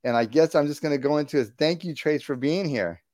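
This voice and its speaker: A man's voice: on the high side, fairly quick, a little mellow, no echo, clean studio sound. Enthusiastic male podcast host. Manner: enthusiastic, rambling with restarts. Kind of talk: casual interview.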